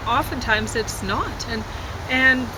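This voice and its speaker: A woman chatting casually, hesitant and rambling, often trailing off mid-thought. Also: takes it slowly. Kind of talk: casual chat. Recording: constant traffic and outdoor rumble noise.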